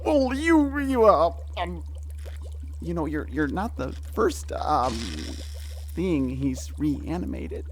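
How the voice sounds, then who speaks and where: Over noticeable background noise, a very high, swooping voice from a male actor plays an eager, dim young man. He stammers earnestly and stays nervously upbeat in this audio drama. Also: expressive, slow. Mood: flustered.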